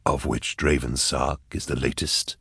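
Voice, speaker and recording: A man's voice: rumbling low, level tone, measured pace, sharp tone, no echo, clean studio sound, pitched below the performer's own voice, full character voice. A man voicing a grim, commanding interrogator in an audiobook, terse, grunting and laboured, as if straining to concentrate. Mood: terse, strained. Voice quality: husky, grunting. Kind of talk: dramatised audiobook dialogue.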